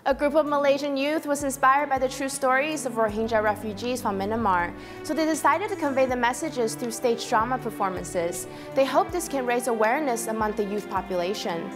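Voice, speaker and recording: A woman presenting news headlines in a steady, formal read. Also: a little high, a little fast, hardly any pauses, over music. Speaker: news anchor.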